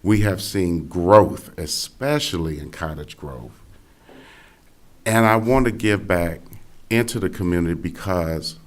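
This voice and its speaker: A man from Gary, Indiana, hoarse and raspy, giving an earnest, halting speech as a school board candidate at a forum. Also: low-pitched. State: hoarse from cheering.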